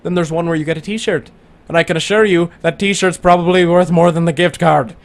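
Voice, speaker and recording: A young man with a noticeably high voice, chatting as a radio co-host. He jokes and rambles in loose, playful banter. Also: steady tone, a little fast. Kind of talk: casual banter.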